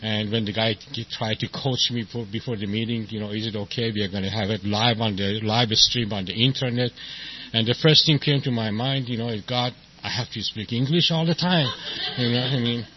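A man in his sixties from Iran with an Iranian accent, giving a halting, self-deprecating personal testimony as the main speaker at a recovery meeting, full of fillers and restarts. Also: mid-range voice. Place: meeting hall with an audience. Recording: dull lo-fi recording with faint noise.

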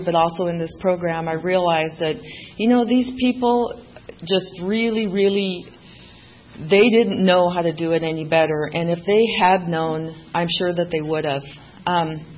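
A woman's voice: fairly low pitch, lo-fi sound, a little hiss or hum. Candid woman giving recovery testimony. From Mexico. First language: Spanish. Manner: candid, self-deprecating humor with many fillers. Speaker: main speaker at a recovery meeting. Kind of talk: personal testimony to a group.

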